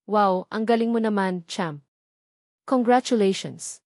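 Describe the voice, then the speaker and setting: A woman's voice: medium pitch, measured pace, a little mellow, dry recording, clean studio sound, close to an everyday voice. A woman voicing a kind, composed male police captain who speaks encouragingly in a romance audio drama. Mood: gently admiring.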